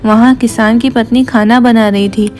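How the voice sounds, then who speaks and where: Woman narrator, higher pitch, scripted children's storytelling, music clearly audible behind. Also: fairly even intonation, normal speed, darkish tone. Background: background music.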